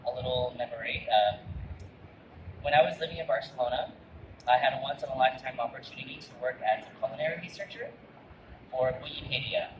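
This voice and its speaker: A man's voice: mid-range voice, fairly quick, highs cut off. A man who grew up in Canada, telling a personal food story in an earnest, reminiscing way with frequent restarts. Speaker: chef giving a talk. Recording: roomy, distant mic with loud background noise.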